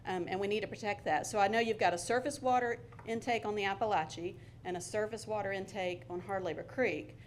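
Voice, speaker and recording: A woman's voice: medium pitch, a little hiss or hum. A woman from Georgia making an earnest, slightly rambling public comment at a city council hearing, with frequent ums. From Georgia, USA. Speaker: resident giving public comment.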